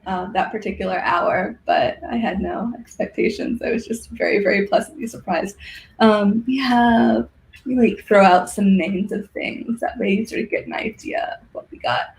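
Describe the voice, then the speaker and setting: An interview guest talking casually and thoughtfully, in a halting way, with a roomy echo. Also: mid-range voice, creaky. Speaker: guest, organizer of a fiction podcast and symposium. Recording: roomy, echoey sound.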